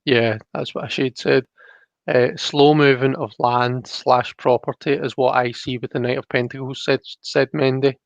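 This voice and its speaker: A man hosting a live card reading, talking casually and explaining as he thinks aloud, with halting phrasing. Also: mid-range voice, little pitch movement, gravelly, dry recording, muffled recording. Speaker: host of a live tarot reading.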